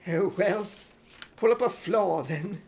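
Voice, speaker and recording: A man's voice: high-pitched, takes it slowly, gravelly, darkish tone, pitched below the performer's own voice, heavily acted. A man voicing a blustering, scheming old retired army major in an imitation British accent for radio comedy. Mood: genial bluster.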